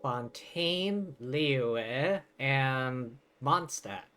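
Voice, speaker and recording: A male streamer narrates along with a story game, adding dry asides. His pace is slow and deliberate, with faint game music behind him. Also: mid-range voice, lively intonation, bright, forceful tone. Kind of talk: reading along and recapping game dialogue.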